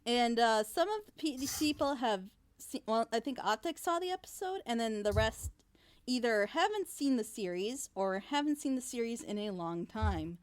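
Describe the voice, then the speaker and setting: A woman's voice: fairly high pitch, expressive, normal speed. A woman hosting a casual group reaction with an informal, easygoing way of talking. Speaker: host, series director, voice actor.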